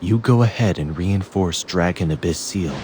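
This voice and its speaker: An actor playing a grave, commanding battle leader in a video game, with a solemn, authoritative delivery. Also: male voice, deep voice, normal speed, noisy background, lightly acted. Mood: urgent. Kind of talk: dramatic video game voice acting.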